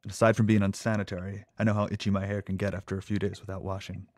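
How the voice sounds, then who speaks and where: An actor playing a timid, nebbish, lovestruck male lab assistant in an audio drama, speaking in a halting, earnest stammer. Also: deep voice, level tone, darkish tone. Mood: hurt, dutiful.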